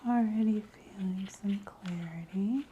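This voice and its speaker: A woman speaks in breathy, soft ASMR, mostly in a whisper, with a very dark, velvety tone. She takes her time, gentle and soothing, with long slow phrasing. A faint noise sits behind her voice. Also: medium pitch, muffled recording. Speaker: ASMR host performing a scalp exam roleplay.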